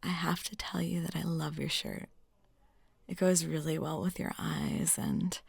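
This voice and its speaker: A woman acts out a talkative, flirty waitress in an intimate audio roleplay. Her mid-pitched voice is soft and noticeably creaky, slipping toward near-whispers. She sounds lonely and smitten, recorded very dry, with no room around her. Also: dry recording. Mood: flirty, affectionate. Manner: soft, rambling, giggly, drops into near-whispers.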